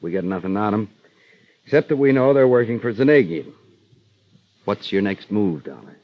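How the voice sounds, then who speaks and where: An actor playing a matter-of-fact police sergeant in a vintage radio crime drama, businesslike and clipped. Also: male voice, on the low side, animated, moderate pace, natural voice. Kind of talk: 1940s-50s radio crime drama acting. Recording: dull vintage radio recording.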